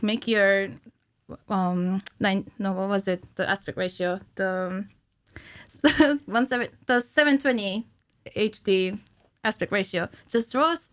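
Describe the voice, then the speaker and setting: Woman, thirties, medium pitch, podcast guest, halting informal explanations, casual chat, studio microphone. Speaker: podcast guest, cinematic animator. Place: small podcast studio indoors. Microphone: studio microphone on stand, with headphones.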